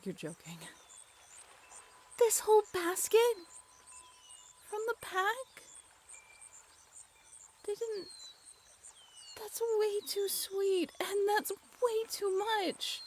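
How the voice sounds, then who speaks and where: A woman acting a bashful, affectionate young writer on a date in an intimate audio roleplay, halting and playfully teasing. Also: extremely high pitch, animated, slight background noise, heavily acted. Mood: surprised, touched.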